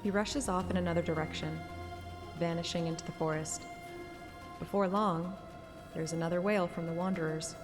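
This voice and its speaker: A woman's voice: lowish voice, steady tone, moderate pace, long pauses, long reverb tail, over music. A woman narrating a fantasy romance game story in an audiobook style. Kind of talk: audiobook narration with acted dialogue.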